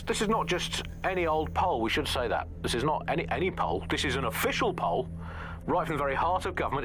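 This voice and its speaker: A man's voice: medium pitch, fairly bright tone, lightly acted. Male actor as sarcastic comedy radio co-host: ranting, mock-grand, medium register, faint background noise. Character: exasperated male satirist hosting pirate radio comedy show. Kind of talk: satirical comedy banter and mock news.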